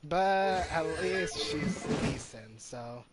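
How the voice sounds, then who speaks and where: A man's voice: fairly high pitch, expressive, extremely slow, loud music behind the voice. A man hosting a gaming video with casual, informal commentary, repeating points for emphasis.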